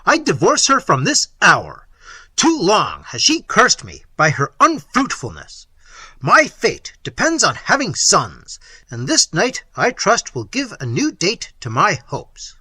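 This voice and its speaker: A man voicing a tyrannical middle-aged prince, loud and commanding, with a husky voice. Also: fairly high pitch, huge pitch swings, unhurried, no room sound, full character voice. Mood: imperious.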